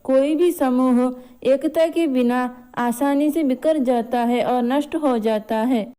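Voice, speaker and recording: A woman's voice: sits high, slow pace, warm tone, clear, resonant tone. A woman narrating a scripted moral story as a storyteller. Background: background music.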